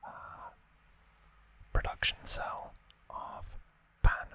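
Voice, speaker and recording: A man hosting an ASMR unboxing, speaking in a soft, breathy whisper with an unhurried, musing manner. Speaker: ASMR video host.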